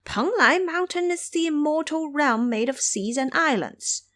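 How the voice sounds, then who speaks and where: A naive little demon imp acted by a woman in an audio drama. He is an eager young servant, earnest and excitable, pitched high with swooping intonation. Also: unhurried. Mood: awed, apologetic. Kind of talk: audio drama character acting.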